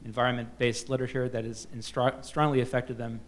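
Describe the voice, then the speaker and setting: Halting male panel moderator. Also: mid-range voice, little pitch movement. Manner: halting, many fillers and restarts. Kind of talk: reading introductions at a book festival panel. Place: festival panel stage.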